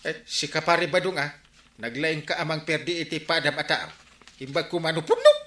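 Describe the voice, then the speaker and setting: A man playing an excitable, blustering village captain in an Ilocano comedy radio drama, full of exclamations. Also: a little high, moderate pace. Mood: indignant. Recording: echoey room sound.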